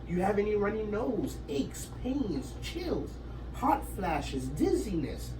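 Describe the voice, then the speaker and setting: Over room noise and echo comes a woman reading as a fussy storybook doctor. He is puzzled and methodical, working through his questions in an animated way. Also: mid-range voice, slow. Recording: echoey room, distant mic, background noise.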